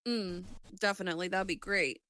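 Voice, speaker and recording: A woman's voice: lowish voice, steady tone, a little fast, pushed and bright. A woman co-hosting a podcast, chatting casually in a rambling, sweary way. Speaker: podcast host. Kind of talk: casual chat.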